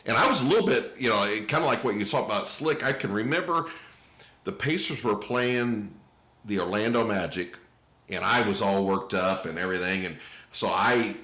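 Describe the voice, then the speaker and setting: A middle-aged American man chats casually as an interviewer. His voice is fairly low, and the sound is roomy and echoey. He speaks haltingly, often repeating words as he builds a thought. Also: expressive, pushed and bright. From Indiana, US. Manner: halting, repetitive phrasing. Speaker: podcast host, interviewer. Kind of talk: casual chat.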